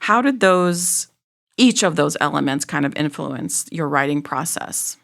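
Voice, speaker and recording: A woman hosting an interview, attentive, asking gentle questions and often murmuring agreement. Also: sits low, animated, unhurried, creaky. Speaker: interview host. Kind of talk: casual interview conversation.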